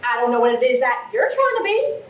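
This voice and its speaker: A woman's voice: a little high, big pitch swings, brisk. A woman acting a prim, exasperated young woman who scolds a neighbor in an emphatic, formal stage monologue. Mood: polite, indignant. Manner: emphatic, formal, carefully enunciated indignation. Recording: distant mic, echoey room, dull, faint noise.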